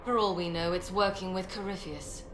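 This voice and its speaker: A woman's voice: fairly low pitch, normal speed, background noise, lightly acted. A woman playing a stern, righteous rebel mage in a fantasy video game, firm and accusatory. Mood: suspicious. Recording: echoey, music behind.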